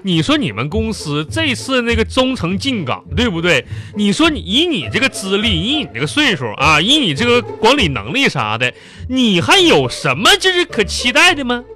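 A man's voice: high voice, wildly swooping pitch, normal speed, hardly any pauses, pushed and bright, exaggerated voice. A man playing a long-suffering, whiny middle-aged husband in a radio comedy, groaning and pleading with a Northeastern Chinese accent. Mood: pleading, nagging. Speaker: radio comedy host and performer. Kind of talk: live comedy radio drama dialogue.

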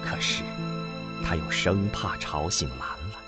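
A man narrating a scripted radio drama in an emotive, deliberate storytelling manner. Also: very deep voice, loud music behind the voice.

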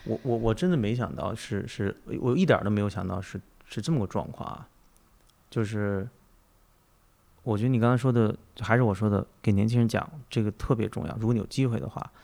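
Creaky-voiced man, talking fast. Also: fairly low pitch, dark tone. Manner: emphatic, repeats phrases for emphasis. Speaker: podcast host and teacher. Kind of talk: reflective conversational interview.

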